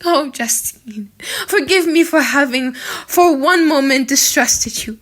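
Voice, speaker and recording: A tender young gentlewoman as a woman narrator acts her with a high, bright voice. She is devoted and passionate, imploring and full of grief, in dramatic storybook style. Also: takes it slowly. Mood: passionate, desperate. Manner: earnest, emotive, imploring. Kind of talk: dramatic audiobook character acting.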